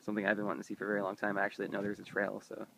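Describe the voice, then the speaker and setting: A man's voice: on the low side, very fast, creaky, slight background noise. A young man narrating his road trip casually while filming outdoors in open desert on a handheld camera. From Indiana, United States. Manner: relaxed, touristy commentary while walking.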